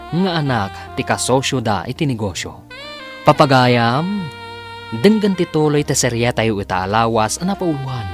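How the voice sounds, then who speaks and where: A man announcing and narrating a radio drama in dramatic scripted style, with drawn-out, emphatic phrasing. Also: medium pitch.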